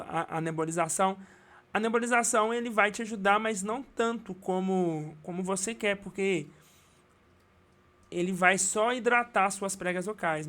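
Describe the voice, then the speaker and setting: A man with a Brazilian Portuguese accent teaching singing in a live online class, talking casually with many restarts and quick checks. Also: sits high, fairly bright tone. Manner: casual and rambling, many restarts and tag questions.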